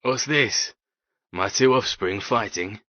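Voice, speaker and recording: A woman voicing a doting, proud middle-aged father in an audiobook, affectionate and gently persuasive in manner. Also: a bass voice, slow, no echo, muffled recording, pitched below the performer's own voice, heavily acted. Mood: mildly chiding. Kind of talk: audiobook character dialogue.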